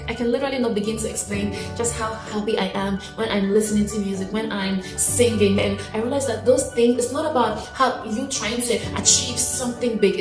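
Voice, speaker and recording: A woman's voice: a little high, roomy sound. A woman in her late twenties, sharing personal reflections in an earnest, heartfelt way, often restarting her sentences. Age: late 20s. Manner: earnest and heartfelt, with soft laughs and many restarts. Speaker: vlogger. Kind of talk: personal reflective talk to camera.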